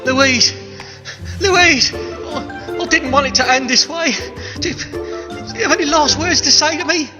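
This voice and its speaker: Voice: high-pitched, a little slow, long pauses. An actor playing a hammy, defiant crook in a radio comedy. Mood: dying, mournful. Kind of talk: radio comedy stage acting. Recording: loud music behind the voice.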